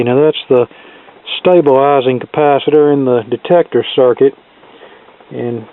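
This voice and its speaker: Phone-like narrow sound, man, slightly high voice, repair instructor, methodical, step-by-step explaining. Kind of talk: hands-on repair tutorial, talking through steps. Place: indoor cluttered electronics workbench. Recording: thin phone-like band-limited sound.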